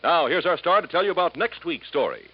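A man's voice: a little high, big pitch swings, a little fast, slightly rough. A man announcing radio show credits in a formal 1950s broadcast style. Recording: muffled old radio recording with music.

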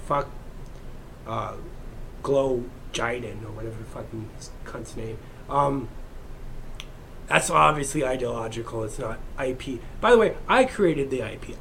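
A man's voice: on the high side, a little hiss or hum, poor audio. A man vlogging in a heated, profane, rambling rant to his viewers. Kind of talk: unscripted rant to camera.

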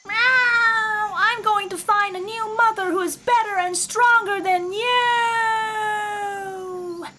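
A woman voicing a spoilt little boy kitten in a storybook, calling out in a shrill, strained, pleading way. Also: steady tone, takes it slowly, heavy background noise. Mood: eager.

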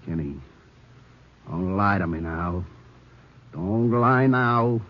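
An actor playing a bitter, taunting, cornered gangster in a 1950s radio crime drama, moving between menacing murmurs and wild shouts. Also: male voice, deep voice, slow pace. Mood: menacing, pleading. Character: desperate cornered gangster, bitter, taunting, volatile older brother. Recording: muffled, noisy 1950s radio recording.

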